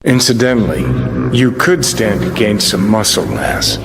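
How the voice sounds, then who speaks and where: A man's voice: fairly low pitch, measured pace, heavy background noise, natural voice. A man playing a cynical, brash rebel rocker in a video game, sardonic, blunt and foul-mouthed. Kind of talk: video game character acting.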